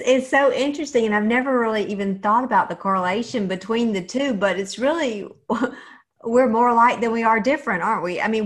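A woman hosting a casual interview, speaking in an enthusiastic, affirming and reflective way. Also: a little high. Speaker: show host.

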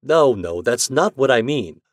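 A man voicing a determined male propagandist writer who talks in a forceful, snapping way. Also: medium pitch, very animated, measured pace, no echo, clean studio sound, pitched above the performer's own voice. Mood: insistent.

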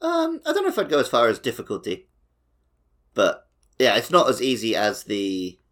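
A man's voice: medium pitch, huge pitch swings, moderate pace, a bit bright. A man hosting a podcast in a casual, chatty style with a wry, joking manner. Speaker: podcast host. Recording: music behind the voice. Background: plucked guitar music.

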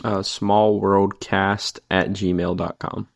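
A man's voice: low voice, level tone, slow, creaky, dry recording, clean studio sound. An American man in his early twenties talking casually and informally as a laid-back podcast co-host. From Raleigh area, United States. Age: early 20s. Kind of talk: casual chat.

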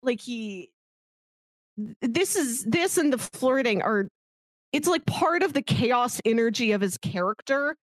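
A woman's voice: on the high side, expressive, a little slow, no room sound. A woman co-hosting a podcast, talking casually and chattily about films, often laughing as she talks. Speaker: podcast co-host. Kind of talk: casual film discussion.

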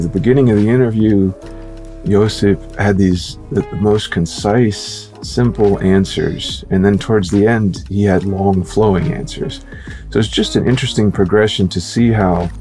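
A man co-hosting a podcast, interviewing a guest in a casual, rambling way with quick asides. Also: on the low side, dark tone.

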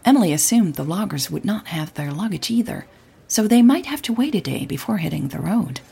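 A woman narrating a scripted audio drama in a measured, understated, wry storytelling manner. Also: low-pitched, vocal fry, a little mellow, husky.

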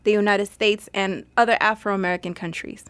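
A woman reading a translated interview answer as a voice-over, in a measured, formal and explanatory manner. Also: mid-range voice, fairly even intonation, fairly bright tone, clean tone, dry recording. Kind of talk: scripted translated narration of historical analysis.

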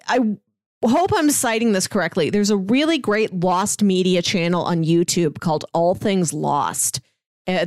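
A woman's voice: mid-range voice, no room sound, studio quality. A woman co-hosting a podcast, chatting casually about pop culture in a talkative way full of "like". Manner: chatty, frequent "like". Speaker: podcast co-host.

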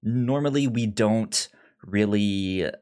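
A man's voice: lowish voice, slow pace, darkish tone. A man co-hosting a casual movie review podcast, speaking enthusiastically and often repeating words as he thinks. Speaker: podcast co-host.

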